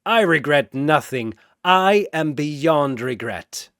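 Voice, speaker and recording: An arrogant fallen-angel villain acted by a male narrator. He is menacing and grandiose, drawing out his words slowly in a dry fantasy story recording. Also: a little high, animated, fairly bright tone, no room sound, heavily acted. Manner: grandiose, drawn-out.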